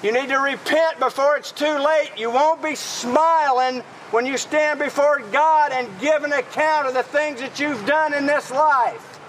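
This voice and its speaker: A man condemning loudly as a street protester, preaching in a declamatory way outdoors with street noise. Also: extremely high pitch, fairly even intonation, unhurried, poor audio. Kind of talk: accusatory religious street preaching.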